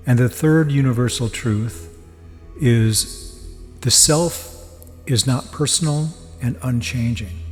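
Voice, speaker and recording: Man teaching meditation in long reverb. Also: medium pitch. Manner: measured, deliberate phrasing with pauses. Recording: long reverb, soft music behind. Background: music.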